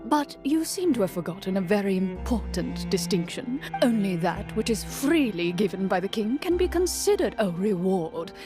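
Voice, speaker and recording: A woman voicing a smooth-tongued male prime minister in a fantasy game, formal and insinuating. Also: mid-range voice, very animated, moderate pace, hardly any pauses, lightly acted. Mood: cool, insinuating. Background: background music.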